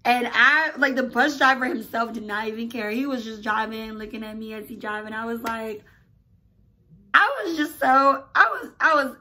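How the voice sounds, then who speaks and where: Bright, chatty young woman. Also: fairly high pitch. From Nigeria. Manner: animated, chatty, lots of "like" and "oh my gosh". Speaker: YouTuber. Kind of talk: casual storytelling to camera.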